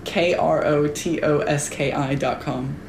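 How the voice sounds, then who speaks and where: A woman's voice: low-pitched, steady tone, measured pace, darkish tone. A woman guest in a casual podcast chat, talking enthusiastically and with emphasis. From Polish family. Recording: roomy with background noise.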